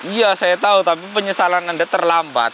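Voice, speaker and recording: A man's voice: high-pitched, brisk, background noise. A man with a slight cold talking casually to viewers as a host, often breaking into religious exclamations. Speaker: paranormal channel host.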